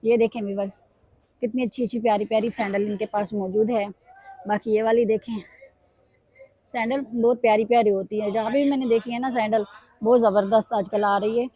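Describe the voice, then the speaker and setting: A woman vlogger, hoarse from a sore throat, walking through market stalls and pitching bargains to her viewers. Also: steady tone, brisk, dark tone, low-quality recording. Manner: repeatedly addresses viewers, upbeat sales pitch. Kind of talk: casual walkthrough commentary. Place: busy weekly market stalls.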